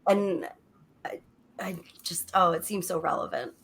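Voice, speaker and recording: A woman's voice: fairly low pitch, fairly even intonation, measured pace, a bit bright, a little hiss or hum. A woman joining a casual discussion, talking with enthusiasm.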